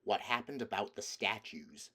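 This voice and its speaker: A man with a fairly low voice reads a storybook aloud. It is scripted narration, delivered as an audiobook reader would. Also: lively intonation, normal speed, pushed and bright.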